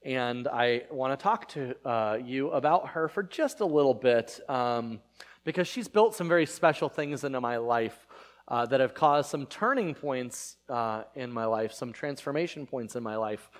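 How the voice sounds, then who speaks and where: A male pastor in his thirties whose first language is Spanish talks to his church in an easy, meandering way. He fills pauses with ums and uhs and restarts his sentences. His voice sits at a middle pitch. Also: animated. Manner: conversational, rambling with many fillers and restarts. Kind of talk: casual sermon.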